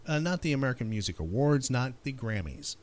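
A man's voice: medium pitch, very animated, fairly quick, dry recording. A man hosting a casual trivia show, playfully quizzing and teasing out each question. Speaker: trivia podcast host.

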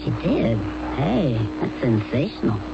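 An actress in a 1970s radio drama playing a brash, thrill-seeking compulsive gambler with a breezy, slangy, flippant manner. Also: female voice, a bass voice, big pitch swings, unhurried, creaky, loud music behind the voice, heavily acted. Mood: eager, excited. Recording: dull, noisy old radio recording.

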